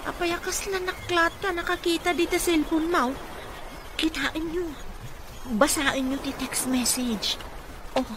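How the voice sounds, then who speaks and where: A woman's voice: very high voice, fairly even intonation, measured pace, pauses often, background noise. A woman playing a kindly, dutiful mother and caregiver in an Ilocano radio comedy-drama, speaking in a respectful, motherly way. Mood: worried.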